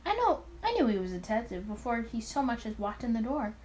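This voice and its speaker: A woman's voice: on the high side, sing-song, fast, darkish tone, slight background noise. A woman voicing an arrogant, charming male mafia boss from the 1920s in a visual novel. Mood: smug, explaining.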